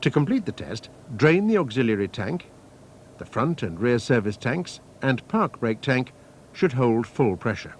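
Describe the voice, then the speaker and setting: Man narrating a technical training film, lively intonation. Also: medium pitch. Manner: measured, methodical, formal. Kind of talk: scripted technical instructional narration. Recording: faint noise, archival training film soundtrack.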